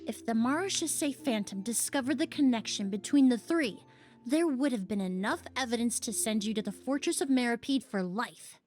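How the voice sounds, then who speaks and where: A composed young woman investigator from a video game, played by a woman. Her voice is fairly high and her speech measured and exact. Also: lively intonation, a little mellow, soft background music, lightly acted. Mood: accusing. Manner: measured, precise, accusing.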